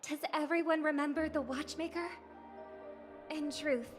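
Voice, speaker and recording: A woman voicing a graceful young idol who hosts a festival, speaking in a measured, formal, ceremonial way. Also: high-pitched, fairly even intonation. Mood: ceremonious. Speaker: festival host. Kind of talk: video game voice acting. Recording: game audio with clear music behind.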